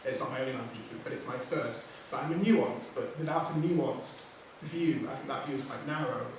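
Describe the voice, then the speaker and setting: A man who speaks English as his first language, reading a prepared talk as a poet on a writers' panel, measured, with small self-corrections. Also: mid-range voice. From Nigerian heritage, raised outside Nigeria. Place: theatre hall. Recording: echoey hall from far off, background noise.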